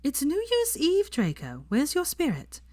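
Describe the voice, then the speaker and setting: A woman voicing a bright, teasing, bossy young witch in a story reading. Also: high voice, huge pitch swings, a little slow, pitched above the performer's own voice, heavily acted. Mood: playful, insistent.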